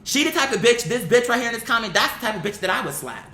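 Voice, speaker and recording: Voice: sing-song, quick pace, bright, forceful tone. A young adult commentator giving a blunt, exasperated opinion rant in casual, sweary language, repeating phrases for emphasis. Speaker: online commentator. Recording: echoey room with faint rumbling noise.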